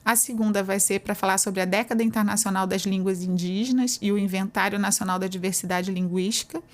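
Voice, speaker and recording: A Brazilian woman professor talks through her answers in a relaxed, explanatory way. She speaks at a middle pitch and often tags her sentences with small confirming fillers. Her tone is conversational and unhurried. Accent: Brazilian Portuguese. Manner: explanatory, uses many fillers like "né". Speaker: university professor, guest.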